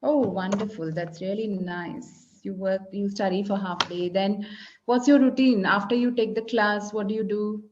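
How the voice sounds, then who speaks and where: Indian english teacher lady, malayalam speaker, patient and slow, kinda muffled call audio. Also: medium pitch, steady tone, slight background noise. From Kerala, India. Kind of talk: casual tutoring chat. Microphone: video call.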